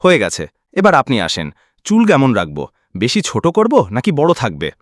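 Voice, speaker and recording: A man's voice: medium pitch, huge pitch swings, a little fast, no room sound, studio quality. A man voicing a busy, polite village barber in a cartoon folk tale.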